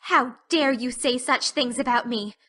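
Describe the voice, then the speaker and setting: A woman's voice: a little high, unhurried, pushed and bright, voice pushed up. A woman voicing a fiery, indignant young orphan girl with vehement delivery in a dramatized audiobook. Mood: indignant rage. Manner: vehement, emphatic.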